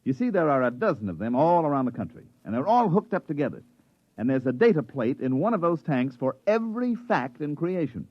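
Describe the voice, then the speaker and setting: Wry, put-upon repairman, lively pitch swings, colloquial vintage radio acting, dull close recording. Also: fairly quick, no echo. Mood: salesmanlike. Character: wisecracking, put-upon repairman of a futuristic gadget firm. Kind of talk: 1950s radio drama acting, first-person narration and dialogue.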